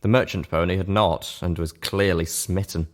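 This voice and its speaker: Guy reading fan fiction out loud, pretty deep voice, first person narrator. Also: steady tone, moderate pace.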